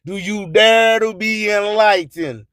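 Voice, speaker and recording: A man's voice: high voice, slow pace, a bit bright, no room sound, clean studio sound. A man hosting radio with a rhyming spoken-word monologue, proclaiming emphatically and boastfully and drawing out his phrases. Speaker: radio host and music promoter.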